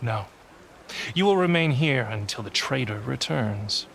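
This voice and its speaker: An actor playing a stern, menacing compliance officer in a video game, pompous and commanding. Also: male voice, medium pitch, lively intonation, unhurried, vocal fry, heavy background noise. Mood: stern, contemptuous. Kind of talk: video game villain acting.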